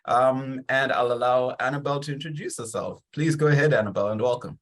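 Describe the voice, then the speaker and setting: A man's voice: medium pitch, fast. Male interview host, mid-range voice. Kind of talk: conversational interview.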